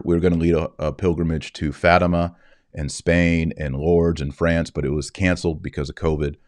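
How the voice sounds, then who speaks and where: Male, 40s, deep voice, dry close sound. Religious podcast host, didactic, measured stresses on key words. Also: a little mellow, no room sound. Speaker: podcast host reading and explaining scripture. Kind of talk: scripture reading and religious commentary.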